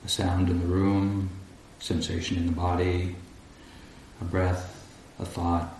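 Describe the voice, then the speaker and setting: Very slow, low-pitched man in echoey room. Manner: calm, deliberate, long pauses between short phrases. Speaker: meditation teacher. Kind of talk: guided meditation instruction. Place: large echoey indoor room at a retreat. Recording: roomy echo, faint background noise.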